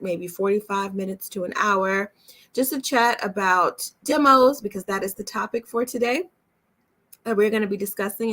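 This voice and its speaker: A woman's voice: medium pitch, a bit bright. A woman hosting an online webinar as a coach, chatting casually to viewers in a welcoming, reassuring way. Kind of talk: casual livestream chat to viewers.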